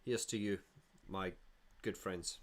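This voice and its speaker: A man's voice: low-pitched, steady tone, slow pace. A middle-aged man from South Africa hosting a casual livestream, reading viewer comments aloud and rambling with frequent restarts. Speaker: livestream host.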